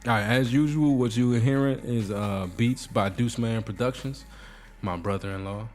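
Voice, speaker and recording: A man's voice: medium pitch, normal speed, a little mellow. A man hosting a casual podcast, sick and congested with a nasal voice, joking and rambling. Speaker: podcast host.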